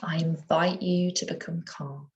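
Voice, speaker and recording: A woman with a slightly low voice leads a guided relaxation as a yoga nidra teacher. She speaks soothingly and takes her time, leaving gentle pauses between phrases. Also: steady tone, dull audio.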